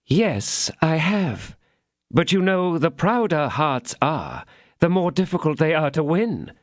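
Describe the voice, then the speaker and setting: A man voicing a scheming, vindictive noblewoman who speaks in a measured, coaxing way. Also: a little high, very animated, dry recording, clean studio sound, voice pushed up.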